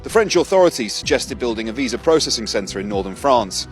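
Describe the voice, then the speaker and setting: A male reporter reading a scripted news report in a measured, pointed way. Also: mid-range voice, lively intonation, faint music behind.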